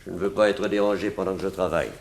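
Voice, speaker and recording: An actor playing a cold, menacing, obsessive sculptor in a French radio drama, speaking tersely and with detachment. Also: male voice, low voice, steady tone, rapid-fire, vocal fry. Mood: curt, commanding. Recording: noisy old radio drama recording.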